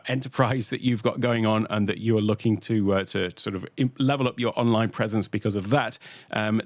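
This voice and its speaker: A middle-aged British man from the UK hosting a live online tech workshop from his home studio, talking in a relaxed, conversational way with lots of "uh" fillers. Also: fairly low pitch, no room sound. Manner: relaxed, conversational, many "uh" fillers, greets chat viewers. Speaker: workshop host and tech presenter.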